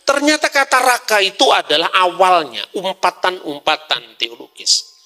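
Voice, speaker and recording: A middle-aged man with a Javanese accent giving a lively scripture talk, asking himself questions and answering them, with Javanese asides. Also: high voice, normal speed. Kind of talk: lively lecture-style talk.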